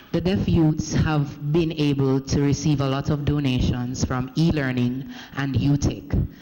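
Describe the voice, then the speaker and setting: A man with a Jamaican accent delivering a formal speech in a parliament chamber, measured, often restarting and correcting himself as he goes. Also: medium pitch, darkish tone, a little hiss or hum. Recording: echoey parliament hall.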